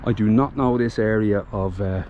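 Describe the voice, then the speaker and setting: A man with a Dublin accent speaks in a fairly low voice, chatting warmly to his viewers and often pausing to restart. He records outdoors, with clear street ambience and passing cars behind him. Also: dull audio, a little hiss or hum. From Ireland. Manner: chatty and heartfelt, with frequent fillers and restarts. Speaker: vlogger. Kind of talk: casual vlog commentary.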